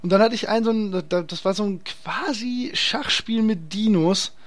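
A man's voice: high-pitched, moderate pace. A man hosting a casual podcast chat, talking in an enthusiastic, slangy and emphatic way. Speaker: podcast host.

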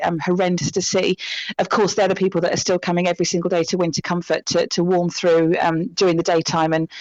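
A British woman speaking as a charity representative in an interview, explaining things in an earnest, compassionate, measured way. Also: mid-range voice, no echo, lo-fi sound. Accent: British English.

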